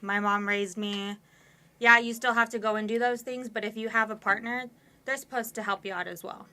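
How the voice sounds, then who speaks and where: A woman's voice: fairly high pitch, fairly even intonation, moderate pace, bright, forceful tone. A woman in her twenties, a podcast guest, talking candidly and at length with many fillers. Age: 20s. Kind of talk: casual conversation.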